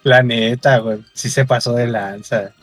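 A man's voice: mid-range voice, dry recording, soft background music. A man in his early twenties with a Mexican accent, co-hosting a podcast and reacting eagerly. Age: 20s. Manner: excitable, quick reactions. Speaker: podcast co-host. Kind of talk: casual chat among friends.

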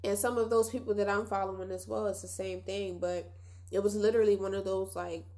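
A woman's voice: mid-range voice, little pitch movement, a little fast, dark tone. Nasal young woman chatting on a podcast. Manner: chatty and reflective, addresses listeners as y'all. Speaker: podcast host. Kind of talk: casual solo podcast monologue.

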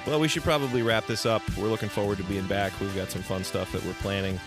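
A man's voice: fairly low pitch, fairly even intonation, fast, music in the background. A man co-hosting a podcast in casual chat, wry and joking, often laughing. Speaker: podcast co-host.

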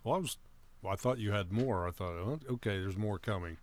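A fairly low-pitched man speaks as co-host in a casual chat. His delivery is conversational and reactive, made up of brief comments and replies. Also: a little fast. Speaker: talk show co-host.

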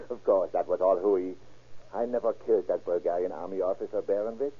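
A man's voice: sits low, fairly even intonation, normal speed. A gloating male convict brags with mocking glee. He is a remorseless killer from an old crime drama, heard through a narrow, tinny vintage recording. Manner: mocking, gleeful, boastful. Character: gloating, laughing convicted killer in his cell. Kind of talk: 1930s-50s radio crime drama acting. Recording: tinny, band-limited old radio recording.

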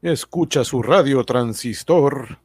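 A man narrating scripted radio drama as a storyteller, in a deliberate announcer-like manner. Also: mid-range voice, breathy edge. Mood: measured. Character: measured male storyteller of an everyman's day.

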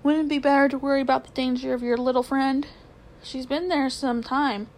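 A woman's voice: high-pitched, steady tone, brisk, a little mellow, pitched above the performer's own voice. A woman doing a pompous old magician uncle. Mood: condescending. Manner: haughty, lecturing, self-important. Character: pompous scheming elderly male magician uncle.

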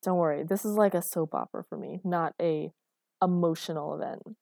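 A woman's voice: lowish voice, brisk, creaky, a little mellow, no room sound, clean studio sound. A woman voicing an unfazed sixteen-year-old girl, chatty and matter-of-fact, in audiobook dialogue. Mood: gossipy, intrigued.

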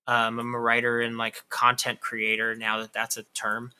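Author guest in his thirties; sharp, bright voice; open and talkative. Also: mid-range voice, completely flat delivery, normal speed, clean tone. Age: 30s. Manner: candid, talkative, lots of "like" fillers. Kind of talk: casual interview chat.

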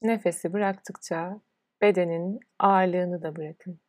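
A woman leading a guided relaxation as a yoga nidra instructor, speaking in a soothing, unhurried way with pauses between instructions. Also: fairly low pitch, fairly even intonation, no room sound, studio quality.

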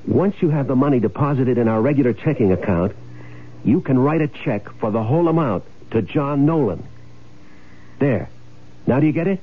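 An actor playing a scheming, greedy, domineering husband in an old-time radio drama, speaking impatiently. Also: male voice, mid-range voice, lively intonation, normal speed, husky. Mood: commanding, scheming. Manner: domineering, impatient, barking orders. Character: scheming, bossy, greedy husband running an insurance swindle. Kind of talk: old-time radio drama acting, first-person noir narration. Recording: muffled old radio broadcast, music behind.

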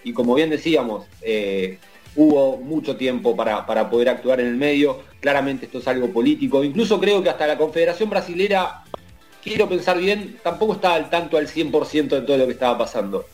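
A man's voice: medium pitch, fairly quick, a little mellow, soft background music. A man with an Argentine accent recounting a sports story in casual chat, stammering at times. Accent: Argentine Spanish. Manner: narrating events, stammers at times.

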